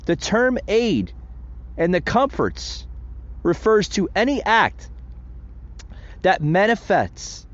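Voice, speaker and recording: Man, podcast host, impassioned solo commentary, high lively voice, muffled lo-fi recording. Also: slow, long pauses. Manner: impassioned, emphatic, addresses listeners as folks.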